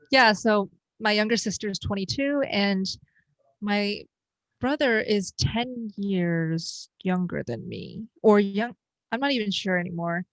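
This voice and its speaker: Soft-spoken woman in her twenties, dry sound. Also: mid-range voice, no room sound, low-quality recording. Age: 20s. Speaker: guest. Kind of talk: casual chat.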